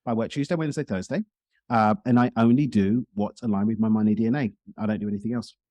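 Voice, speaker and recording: A man's voice: fairly low pitch, fast, mellow, no room sound, lo-fi sound. A man talking casually as a guest in a podcast interview, explaining things in talkative detail. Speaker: podcast guest, speaker and coach.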